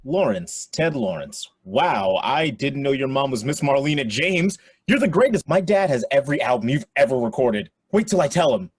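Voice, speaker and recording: A man's voice: medium pitch, very animated, normal speed, hardly any pauses, a little hiss or hum. A man playing an eager, slightly awkward teenage computer geek in a radio play, talking in enthusiastic slang. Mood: starstruck.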